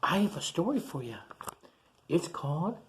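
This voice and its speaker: A man who teaches young children, reading a picture book aloud in a playful, animated way with gasps and chatty asides. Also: on the high side, moderate pace. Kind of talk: storybook read-aloud for children.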